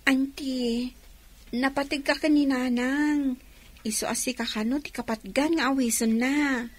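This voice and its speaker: A woman's voice: sits high, steady tone, a little slow. A woman playing a polite, questioning young daughter in Ilocano radio drama, with a Filipino accent. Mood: polite, inviting.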